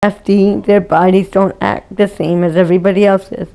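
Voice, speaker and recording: A woman's voice: medium pitch, steady tone, normal speed, vocal fry, warm tone. A woman answering an interview question by listing examples in plain words.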